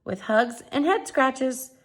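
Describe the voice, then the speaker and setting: A woman's voice: high-pitched, expressive, slow, pitched above the performer's own voice, exaggerated voice. A woman voicing a kind, hopeful female mail carrier, earnest and affectionate, in a children's read-aloud.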